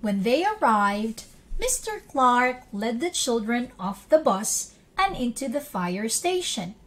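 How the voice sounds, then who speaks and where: High-pitched, very animated woman storyteller. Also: rough recording. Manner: expressive, deliberate delivery for young listeners. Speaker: storyteller reading to children. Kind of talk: read-aloud children's storybook narration.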